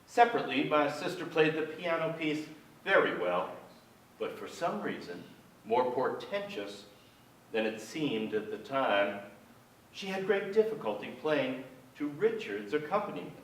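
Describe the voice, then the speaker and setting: A man's voice: a little high, a bit bright, distant mic. An older man playing a melancholy writer recalling a lonely childhood in a theatrical staged reading on a large stage. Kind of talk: theatrical literary narration. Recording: echoey theater stage, miked from afar.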